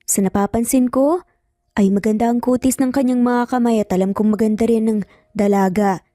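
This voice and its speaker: A man's voice: very high-pitched, fairly even intonation, fairly quick, mellow, no echo, clean studio sound, faint music behind. A woman voicing an amused, curious and admiring wealthy male investor in an audio story.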